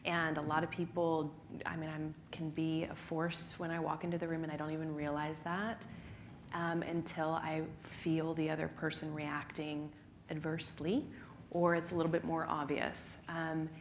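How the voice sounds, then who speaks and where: A woman answering questions on a live panel, animated and self-described as hot-headed and fiery. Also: sits low, moderate pace, a little hiss or hum.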